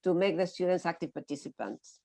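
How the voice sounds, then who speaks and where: A woman from Argentina who trains teachers talks on a video call. Her voice sits low for a woman. She is friendly and hesitant, filling her pauses with "uh". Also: animated, vocal fry, warm tone. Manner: friendly, hesitant, with many fillers. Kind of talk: online round-table discussion.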